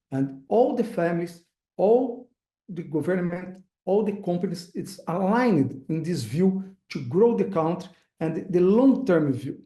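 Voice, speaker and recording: Brazilian-accented man, high voice, echoey. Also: mellow. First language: Portuguese. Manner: halting, searching for words, frequent uh fillers. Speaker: investor and webinar speaker. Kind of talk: webinar presentation.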